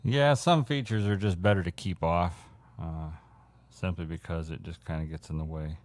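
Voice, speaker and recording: Low deep guy hosting a casual hobby radio chat, kinda halting as he thinks out loud. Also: a little hiss or hum. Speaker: radio hobbyist host showing viewers CB radio gear.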